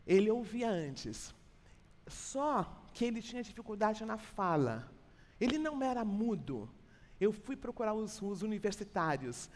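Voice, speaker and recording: A woman's voice: medium pitch, darkish tone. A woman with a Brazilian Portuguese accent preaching an expository church sermon in a colloquial, teacherly way, repeating phrases for emphasis. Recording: hall with long reverb.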